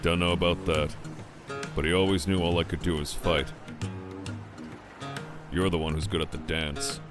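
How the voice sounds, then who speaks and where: An actor playing a terse, deadpan young yakuza brawler in a video game fan dub, over guitar music. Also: male voice, deep voice, moderate pace, lightly acted. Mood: terse, calm.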